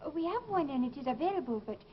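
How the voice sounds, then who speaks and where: An actress playing a polite, wistful young French innkeeper's daughter in an old radio drama, with a French accent and a demure manner. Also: female voice, high voice, lively intonation, very fast. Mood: polite, uneasy. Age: young adult. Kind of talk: 1940s radio drama acting. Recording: muffled, noisy old radio recording.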